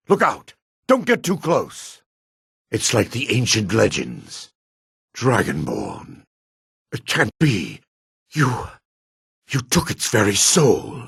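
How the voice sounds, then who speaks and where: A man voicing a gruff, guarded dark elf townsman in a fantasy video game, with a raspy, measured, theatrical delivery. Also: lowish voice, no room sound, studio quality, heavily acted. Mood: alarmed, then awed. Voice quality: raspy, gravelly.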